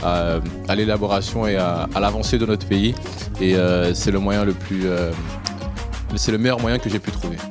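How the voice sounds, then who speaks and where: A young man from Paris answers casting questions in a deep, flat voice. He hesitates often and fills his pauses. Music plays clearly behind him. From Paris, France. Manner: hesitant, many filler pauses. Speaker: pageant contestant.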